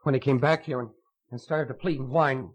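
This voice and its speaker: An actor playing a meek, hen-pecked man straining to seem tough, fussy and excitable, in old radio drama style. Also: mid-range voice, fairly quick, husky, close to an everyday voice. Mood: agitated, boastful. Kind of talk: 1950s radio drama acting. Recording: muffled vintage radio broadcast.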